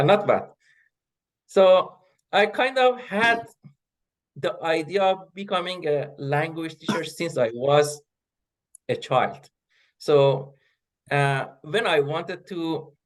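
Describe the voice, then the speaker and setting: A middle-aged man whose first language is Persian, answering interview questions as a guest professor, pausing often with fillers and restarts. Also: medium pitch. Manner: hesitant, many fillers and restarts. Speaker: guest, associate professor of linguistics.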